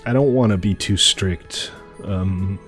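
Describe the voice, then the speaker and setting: A low-voiced man with a slightly stuffy nose talks through his game at a desk mic, easygoing and full of little fillers. Faint music plays under him, with keyboard tapping now and then. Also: slow pace, fairly bright tone. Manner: easygoing, thinking aloud with fillers. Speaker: streamer. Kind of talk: casual gameplay chat.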